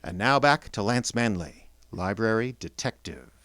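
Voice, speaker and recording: A man hosting a radio theater show, announcing from a script with theatrical, deliberate phrasing. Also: lowish voice, expressive. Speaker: announcer and host.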